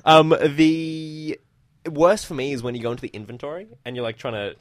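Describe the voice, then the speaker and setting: A man co-hosting a podcast who talks quickly and bluntly, swearing freely in loose banter about games. His voice sits close and dry on the mic. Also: a little high, steady tone, dry recording. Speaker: podcast co-host. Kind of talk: casual gaming chat.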